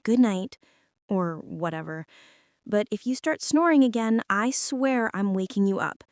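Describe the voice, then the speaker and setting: A woman's voice: medium pitch, animated, measured pace, no echo. A young woman playing a prickly, defensive teenage school bully in an intimate girlfriend ASMR roleplay, sulky and sarcastic, close to the mic. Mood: grudging, quieter. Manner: sulky, sarcastic, huffy, with sighs and whispers.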